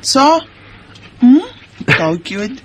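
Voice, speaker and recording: A woman's voice: mid-range voice, expressive, extremely slow, slightly rough, full character voice. A woman with a Cebuano Bisaya accent playing a married mother, teasing yet guarded, in a radio drama with first-person narration. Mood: flustered, teasing.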